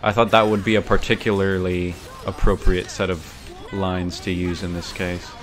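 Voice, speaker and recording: A man's voice: low voice, level tone, normal speed, music in the background. A young man giving casual gameplay commentary, rambling thoughtfully and often correcting himself mid-sentence. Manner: rambling, self-correcting, bookish. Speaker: streamer.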